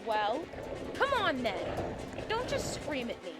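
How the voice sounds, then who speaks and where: An actress playing a cocky vigilante fighter in an audio drama, taunting and wry. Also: female voice, high voice, huge pitch swings, slow, full character voice. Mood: urgent. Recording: loud music behind.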